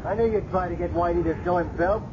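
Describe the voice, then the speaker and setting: A man's voice: high voice, fast talker, close to an everyday voice. An actor playing an agitated, protective, insistent policeman in a 1970s radio drama. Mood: urgent, defensive. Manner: urgent, insistent. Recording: muffled, very noisy 1970s radio recording.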